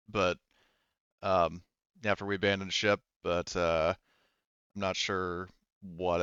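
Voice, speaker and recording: A man's voice: on the low side, fairly bright tone, clear, resonant tone, no room sound, muffled recording, natural voice. A man voicing a hesitant, uncertain woman who survived a shuttle crash, in a tabletop roleplaying game.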